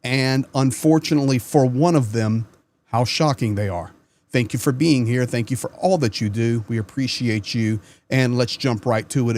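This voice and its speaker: A man's voice: medium pitch, a little mellow. A man hosting his own channel, giving casual news commentary in an earnest, conversational way and repeating words as he starts a sentence. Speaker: channel host.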